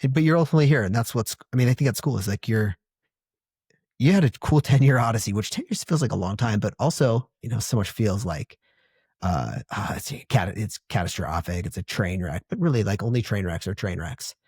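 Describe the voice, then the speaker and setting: Quick, husky-voiced podcast host. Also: male voice, fairly low pitch, lively intonation, a little mellow, dry recording, clean studio sound. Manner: enthusiastic, encouraging, stumbles and repeats words. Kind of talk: casual interview.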